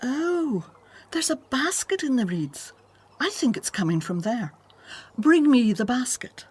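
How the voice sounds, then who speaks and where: An actress playing a willful, commanding Egyptian princess in a radio drama, haughty but with a tender streak. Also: female voice, a little high, sing-song, unhurried, a little mellow, husky, exaggerated voice. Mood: excited, tender. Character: imperious, willful Egyptian princess, softening to babies.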